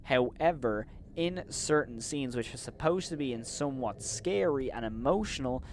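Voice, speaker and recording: A man hosting a commentary video, giving opinionated criticism, leaning on "of course" and "in my opinion" and turning loud and incredulous when he rants. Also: mid-range voice, animated, moderate pace, a little hiss or hum. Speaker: host and reviewer.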